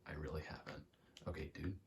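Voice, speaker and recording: A young adult man in a quiet, casual ASMR-style ramble, speaking candidly and hesitantly, often trailing off. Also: extremely low pitch, level tone, brisk, a little hiss or hum. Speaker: video maker.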